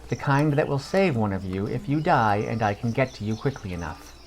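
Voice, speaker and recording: A man's voice: mid-range voice, animated, a little fast, a little hiss or hum, lightly acted. A man voicing an earnest female cleric in a plain, direct manner for a fantasy story podcast. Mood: matter-of-fact.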